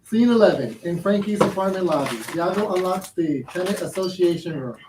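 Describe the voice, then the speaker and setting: Casting: male reader of stage directions, mid pitch, echoey and buried in heavy noise. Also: animated, brisk. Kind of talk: reading stage directions aloud. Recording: echoey, distant, very noisy pickup.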